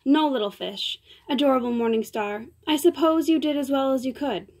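A woman's voice: high-pitched, moderate pace. A woman reading aloud voices an exacting older male theater mentor who coaxes with pet names. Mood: coaxing. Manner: coaxing, endearing, quietly critical.

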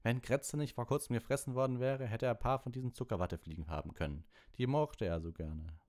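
A man's voice: medium pitch, fast, darkish tone, pitched above the performer's own voice, natural voice. Man playing a sulky, stubborn teenage boy. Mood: pointed. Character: stubborn, resentful teenage schoolboy.

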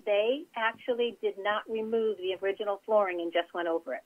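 A woman's voice: medium pitch, brisk. Woman designer on a tinny phone line. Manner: chatty, explains with practical advice. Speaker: interior designer, guest. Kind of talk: casual interview over phone.

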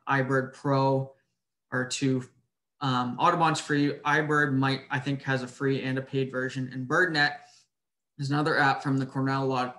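A man giving an informal slide presentation over a video call, in a somewhat halting, hesitant way. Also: mid-range voice, fairly bright tone. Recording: roomy echo on webinar audio.

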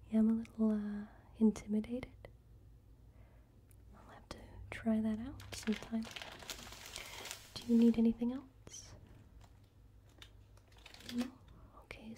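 A woman whispering softly as a cashier in an ASMR roleplay, her tone very dark and mellow. She keeps her voice flat and unhurried, chatting gently across a table in a quiet indoor room. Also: fairly high pitch, slight background noise. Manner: soft-spoken, mostly whispering; friendly chatty shop-counter small talk. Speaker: ASMR creator playing a cashier.

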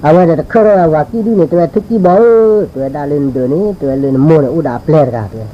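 A man's voice: on the high side, very slow. A man from northern Thailand, a native Karen speaker, telling a folktale aloud in an informal oral storytelling style. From Li district, Lamphun, northern Thailand. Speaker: folk storyteller. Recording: dull lo-fi recording with insect-like background noise.

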